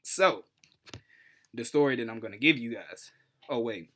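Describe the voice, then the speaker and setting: Animated young American man hosting a vlog. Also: medium pitch, moderate pace, background noise. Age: 20s. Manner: animated, playful, slangy hype talk. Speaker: YouTube host. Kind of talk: casual storytelling vlog.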